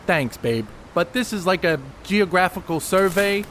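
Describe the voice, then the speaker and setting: A man's voice: high voice, expressive, a little slow, fairly bright tone, slight background noise. A man playing an educated, fussy, secretive ballplayer in a period stage play, precise, reproving and a little hesitant. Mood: guarded.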